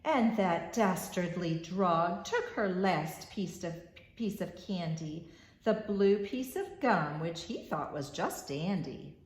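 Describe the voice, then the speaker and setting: A woman reading a rhyming children's storybook aloud as storyteller, expressive and measured in a rhythmic way. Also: fairly low pitch, reverberant.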